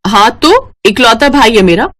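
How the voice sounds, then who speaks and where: A woman's voice: fairly high pitch, sing-song, unhurried, a bit bright. A woman playing a demanding, blunt elder sister who complains, in a scripted moral story. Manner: blunt, entitled, grumbling. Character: demanding, complaining married older sister visiting her brother.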